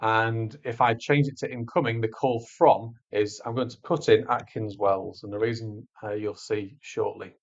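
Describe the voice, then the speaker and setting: A man presenting a software tutorial, explaining step by step in an informal, conversational way. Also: on the low side, fairly even intonation, moderate pace, hardly any pauses, highs cut off. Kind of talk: screencast walkthrough, conversational instruction. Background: voice-over on a screen recording.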